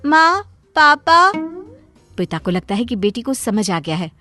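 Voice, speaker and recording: A woman's voice: mid-range voice, a little fast, warm tone, dry recording, faint music behind. A woman narrating a scripted Hindi cartoon moral story as its storyteller.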